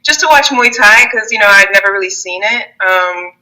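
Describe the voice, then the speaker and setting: A woman in her thirties talks through an interview in an easy, chatty way, laughing often and tripping over her own restarts. Her voice is very bright and forward, sitting in the middle of the usual range for a woman. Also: mid-range voice, lively intonation, brisk, low-quality recording. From Germany and the United States. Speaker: guest, Muay Thai fighter. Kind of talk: casual interview conversation.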